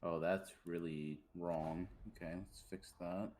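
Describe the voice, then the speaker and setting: A man's voice: low voice, steady tone, slow, darkish tone. A man playing in an online tabletop game, thinking aloud and asking questions as he works through his turn. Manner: joking, then unsure while sorting out his turn. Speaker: player.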